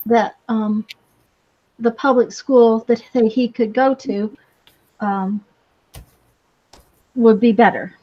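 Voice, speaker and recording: A slightly dull recording of a woman in casual conversation. Her voice is fairly high and she speaks slowly. She feels her way through sentences, hesitant and rambling. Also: a little mellow. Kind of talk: casual chat.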